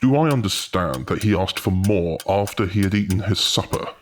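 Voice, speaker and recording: A man playing a stern, formal older gentleman of a workhouse board in a period audio drama, speaking with authority. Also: low voice, expressive, moderate pace. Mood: measured, businesslike.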